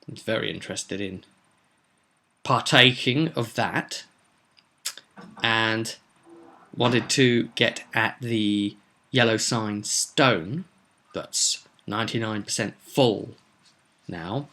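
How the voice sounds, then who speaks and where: Bright, forceful male game master. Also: lowish voice, takes it slowly, pauses often. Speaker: game master recapping a session. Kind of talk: informal spoken recap of a tabletop roleplay campaign.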